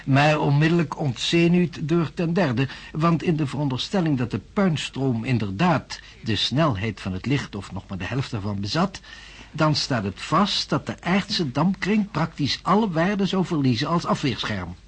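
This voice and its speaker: An actor playing a self-assured, skeptical male physics professor in a radio drama, husky and pompously lecturing. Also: fairly high pitch, expressive, moderate pace. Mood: assertive.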